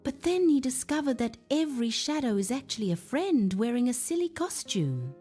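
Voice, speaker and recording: A woman voicing a timid, gentle little boy squirrel in a bedtime storybook, speaking softly and tenderly. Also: high-pitched, very animated, moderate pace, faint music behind, voice pushed up. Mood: gentle wonder. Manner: soft, whispery, tender. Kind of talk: children's bedtime storybook character voice.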